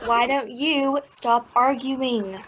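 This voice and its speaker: A woman's voice: high voice, fairly even intonation, takes it slowly, a loud crowd. A woman playing a superior, scornful teenage sister in an old-time radio family comedy. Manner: drawling, scornful. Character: bossy, superior teenage girl, the elder sister. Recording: muffled, very noisy recording.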